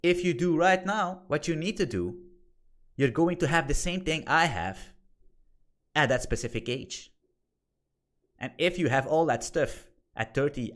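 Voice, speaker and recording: Blunt Dutch man giving career tips. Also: mid-range voice. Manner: blunt, swearing, repeats points for emphasis. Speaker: course instructor. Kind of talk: informal screen-share lesson.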